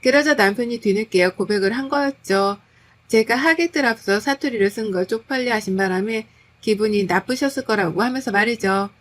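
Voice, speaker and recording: A woman's voice: on the high side, a little fast. A woman reading a scripted first-person story as its narrator.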